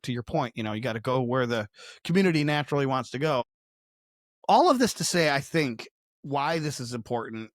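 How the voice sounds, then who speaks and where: A man's voice: medium pitch, dry recording. Middle-aged man, casual podcast co-host, dry sound. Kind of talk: casual conversational discussion.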